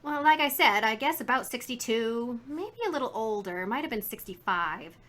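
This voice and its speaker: A woman's voice: on the high side, lively intonation, moderate pace, pushed and bright. An actress playing a chatty, indignant, folksy woman shopkeeper in a 1950s radio drama. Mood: reminiscing. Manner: folksy, garrulous, emphatic.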